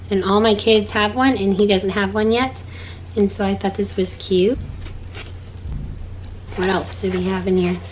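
A woman in her thirties with a hoarse voice, chatting casually to camera about her kids' stocking gifts in a log-home bedroom. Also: medium pitch, slight background noise, rough recording. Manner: chatty, upbeat, showing items. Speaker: vlogger.

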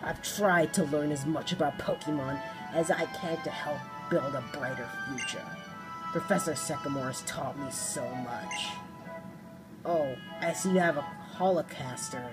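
A woman voicing a grave, grandiose male boss from a video game, speaking in a solemn, pompous, measured way. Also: very deep voice, voice pushed down, poor audio.

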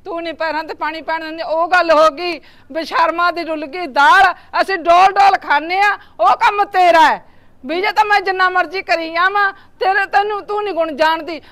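A woman playing a sharp-tongued older mother-in-law who scolds her daughter-in-law. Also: very high-pitched. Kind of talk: amateur short-film acting.

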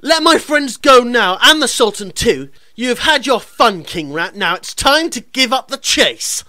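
A woman's voice: a little high, lively intonation, a little slow, sharp tone, no echo. Woman playing a plucky young pantomime hero, dry sound. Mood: defiant. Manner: declamatory, earnest stage delivery. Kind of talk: pantomime radio play acting.

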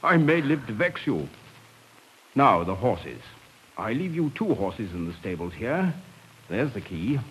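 Gruff English army officer, low expressive voice, clipped, devoted, hissy vintage radio play. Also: male voice, a little slow, rough recording. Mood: bluff, resolute. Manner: clipped, bluff. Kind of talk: 1950s radio drama acting. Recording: hissy 1950s radio recording.

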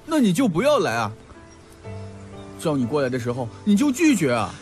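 A man's voice: a little high, huge pitch swings, moderate pace, a little mellow. A man playing a learned, earnest and sensitive young male student in an audio drama, talkative and heartfelt. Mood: hurt, annoyed. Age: young adult. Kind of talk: Mandarin audio drama acting. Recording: background music under the voice.

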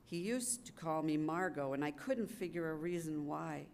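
A woman's voice: low voice, normal speed, a little mellow. An older woman reads a wartime letter aloud as a readers theater performer at a podium microphone. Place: public gallery event room, indoors. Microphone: gooseneck podium microphone. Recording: clearly noisy live room recording.

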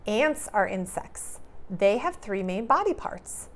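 A woman speaks as a preschool teacher to small children, reading aloud and explaining in simple terms. Her pace is slow and careful, with a creaky edge to her mid-pitched voice. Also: sing-song. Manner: clear simple questions, careful teacherly delivery. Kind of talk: read-aloud lesson for young children.

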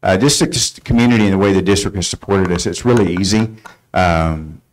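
A man's voice: low voice, a little fast. A middle-aged man answering questions informally as a presenter for a student mentoring program. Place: school board meeting room.

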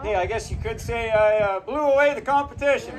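A man playing a boisterous, dim-witted, wisecracking lumberjack in a live outdoor comedy show, loud and exaggerated. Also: extremely high pitch, fairly quick. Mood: joking. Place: outdoor mountain amphitheatre, filmed from audience. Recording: distant outdoor audience camera, noisy crowd.

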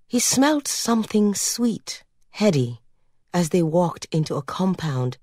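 A woman reading literary fiction as an audiobook narrator, measured and expressive in her storytelling. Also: low-pitched, husky, dry recording, clean studio sound.

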